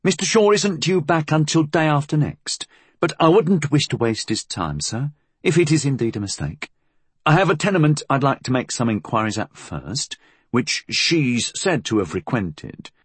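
Male narrator as anxious male inspector, raised pitch, lively intonation, hesitant, formally polite, storybook character. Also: normal speed, slightly rough, dry recording. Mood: eager, polite. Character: nervous, deferential male police inspector, eager and uneasy.

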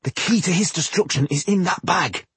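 A man voicing an earnest dwarf scholar hero in a storybook, speaking urgently in a husky voice. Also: high-pitched, normal speed, fairly bright tone, no echo, pitched above the performer's own voice, exaggerated voice. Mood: insistent.

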